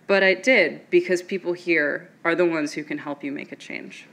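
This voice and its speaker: Bright-voiced young woman telling her story. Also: on the low side, normal speed. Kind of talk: personal story told on stage. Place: auditorium stage at a podium.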